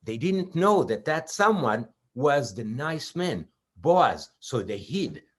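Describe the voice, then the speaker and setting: A man telling stories to children as a puppet show host, expressive, with simple repeated phrasing. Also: mid-range voice, slow pace, husky. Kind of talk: children's storytelling.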